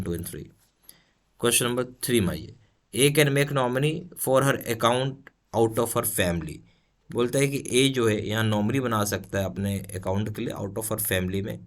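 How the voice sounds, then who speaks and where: A man with an Indian accent giving an explanatory exam lesson, reading questions aloud and often checking that listeners follow. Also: on the low side. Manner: instructional, checks in with frequent tag questions. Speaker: exam coaching teacher.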